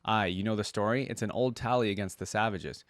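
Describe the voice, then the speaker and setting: Storybook role: dying young male soldier, blinded, brave, earnest, low close dry voice read by a man. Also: a little fast, no room sound, natural voice.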